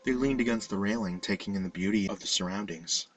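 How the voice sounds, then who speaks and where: A man reading a scripted horror story as narrator, in a measured, dramatic storytelling manner. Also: lowish voice, recorded from a distance, a little hiss or hum, poor audio. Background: music.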